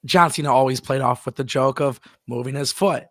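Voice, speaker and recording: A man's voice: mid-range voice, fairly quick, dry recording, clean studio sound. A man hosting a live wrestling talk stream, speaking emphatically and reading out viewer messages. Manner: emphatic, repeats words, reads viewer messages aloud. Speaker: wrestling show host. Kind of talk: casual live-stream chat.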